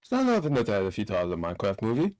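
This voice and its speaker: A man's voice: on the low side, huge pitch swings, racing, a little mellow. A man co-hosting a live stream with casual, crude, jokey banter.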